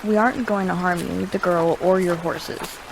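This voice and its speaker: A woman playing a composed, practical survivor leader, measured and direct, in an audio drama. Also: fairly low pitch, steady tone, vocal fry, background noise.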